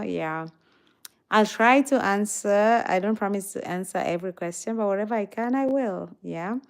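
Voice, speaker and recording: Slow-paced tired young woman, casual livestream host chatting with viewers. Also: medium pitch, darkish tone, smooth. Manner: reads out chat comments and greets viewers.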